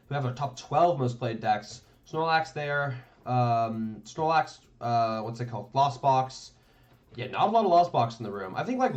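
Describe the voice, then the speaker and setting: A man streams casual commentary over match footage, his pitch jumping around lively as he rambles and checks himself aloud. The audio is dull and lo-fi, like a modest home stream setup. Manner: rambling, thinking aloud, many "like" and "right?". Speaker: streamer reviewing tournament matches.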